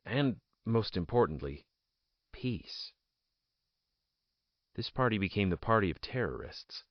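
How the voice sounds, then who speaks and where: A slightly muffled, close and dry audio drama recording of a man acting as a fallen aristocrat politician. His lowish voice moves with lively intonation. He tells his tale proudly and bitterly, weighing his words with theatrical pauses. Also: dry recording. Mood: earnest. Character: ousted nobleman politician, jailed idealist, bitter and proud. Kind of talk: dramatic first-person audio drama monologue.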